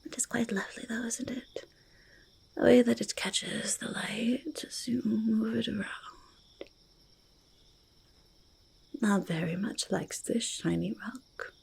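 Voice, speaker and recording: Quirky, stubborn goblin treasure-lover, played by a woman, for ASMR roleplay; very husky whisper, unhurried and halting. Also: a little high, steady tone, darkish tone, heavily acted. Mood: quiet delight. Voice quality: breathy, husky, creaky whisper. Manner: halting, childlike third-person speech, easily argumentative. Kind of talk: soft-spoken ASMR roleplay, mostly whispered.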